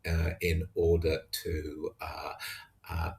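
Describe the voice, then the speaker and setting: Low-voiced man with a gravelly edge. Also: completely flat delivery, a little mellow. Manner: hesitant, halting delivery. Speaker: tabletop wargamer narrating own battle report. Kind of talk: unscripted match recap commentary.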